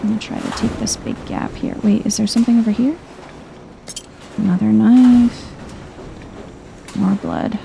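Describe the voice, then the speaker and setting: Casting: woman, soft-spoken game streamer, mellow with vocal fry, low-key and wry, some background noise. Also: fairly even intonation, moderate pace, long pauses. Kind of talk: soft-spoken ASMR let's play commentary.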